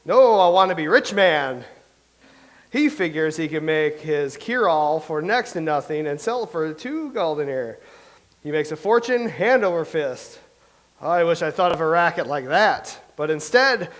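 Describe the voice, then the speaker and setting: An actor playing a vain, anxious male playwright who rambles nervously in a staged comedy reading. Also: a little high. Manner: rambling, nervous, self-pitying. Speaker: playwright character.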